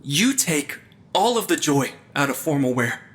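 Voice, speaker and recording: Young male actor as a jittery, wry supernatural sleuth; bright tone, quippy and faintly pompous, comedy audio drama. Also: on the high side, sing-song, measured pace. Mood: dry, joking. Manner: dry, quippy, slightly pompous. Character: wry, nerdy, jittery man investigating the supernatural. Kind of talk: audio drama acting, naturalistic comedic banter.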